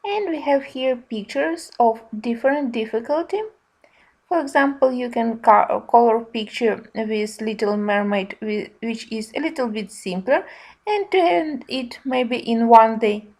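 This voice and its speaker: Higher-pitched woman reviewing casually. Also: measured pace, darkish tone. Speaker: colouring book reviewer.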